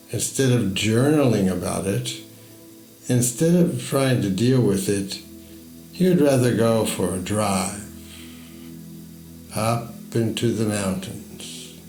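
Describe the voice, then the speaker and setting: Slow, echoey man guiding a meditation. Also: lowish voice, darkish tone. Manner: slow, measured, deliberate pauses between phrases. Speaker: guided meditation narrator. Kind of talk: guided meditation storytelling. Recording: echoey voice over music. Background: music.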